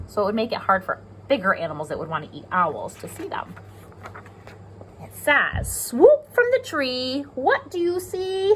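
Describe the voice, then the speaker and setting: A woman's voice: medium pitch, a bit bright, slight background noise. A woman with an American accent reading a picture book aloud to young children, explaining simply and asking them questions as a pre-K teacher.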